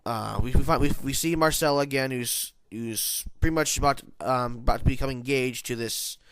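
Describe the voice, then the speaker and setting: A man's voice: medium pitch, fairly quick, a bit bright, clean tone, no echo. A man with a nasal voice, congested from hay fever, giving a casual, rambling spoken review of a TV episode. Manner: rambling, many restarts and self-corrections.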